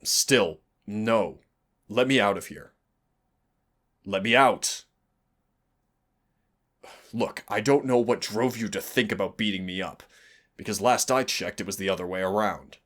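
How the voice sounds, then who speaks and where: Deep, slow-talking teasing demon played by a man. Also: fairly bright tone. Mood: panicked, indignant. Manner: sardonic, teasing, drawling. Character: cocky, teasing male demon, exasperated but fond mentor. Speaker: voice actor in audio roleplay. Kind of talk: scripted roleplay audio acting.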